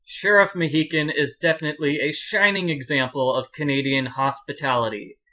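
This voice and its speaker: Bright-voiced man doing game walkthrough commentary. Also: on the high side, fairly even intonation, moderate pace. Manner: chatty, jokey. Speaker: video game walkthrough host.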